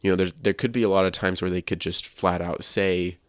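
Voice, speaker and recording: A man's voice: deep voice, steady tone, fairly quick, clean tone, dry recording, clean studio sound. A man hosting a podcast, sharing his opinions in casual discussion. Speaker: podcast host.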